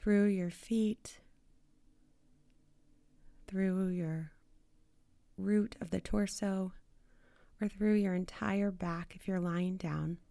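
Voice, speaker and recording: A woman leading a guided meditation in a soothing manner, with short phrases, long pauses and soft, breathy endings. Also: mid-range voice, fairly even intonation, no room sound.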